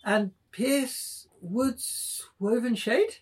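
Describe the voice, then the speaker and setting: A woman voicing a drunk, half-conscious young man, murmuring verse thickly with long drawn-out vowels in a table reading. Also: a little high, darkish tone, slightly rough, heavily acted. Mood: drowsy, murmuring. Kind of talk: amateur dramatic table reading.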